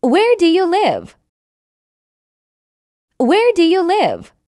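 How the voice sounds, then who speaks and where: A woman's voice: very high-pitched, huge pitch swings, drawn-out words, dark tone, dry recording, studio quality. A woman reading a simple English dialogue for learners, carefully enunciated and repeating each line. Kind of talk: slow scripted dialogue reading.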